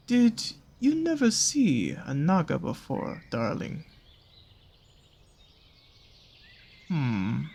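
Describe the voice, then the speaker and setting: A performer voicing a seductive predatory naga with a soft spot for cute creatures, speaking in a teasing, coaxing way in a roleplay audio drama. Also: mid-range voice, creaky, exaggerated voice. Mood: gentle, amused. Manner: slow, teasing, coaxing, with pet names and drawn-out pauses. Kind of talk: scripted roleplay audio drama addressed to the listener.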